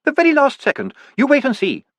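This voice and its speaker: Man doing a high calm noblewoman. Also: fast, vocal fry, no room sound, heavily acted. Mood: assured. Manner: warm, assured. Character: calm, determined noblewoman and mother.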